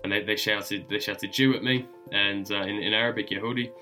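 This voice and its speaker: A man's voice: lowish voice, steady tone, fairly quick, sharp tone, soft background music. A young man guest explorer telling stories in a casual interview, hesitant with frequent ums and repeated words. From born in UK, raised in Germany and Australia. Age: young adult.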